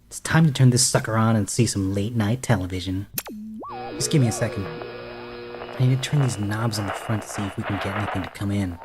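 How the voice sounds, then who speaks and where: Actor doing bratty know-it-all older brother, snide n bossy, music clearly behind. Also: medium pitch, a little fast, natural voice. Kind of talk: audio horror-comedy drama.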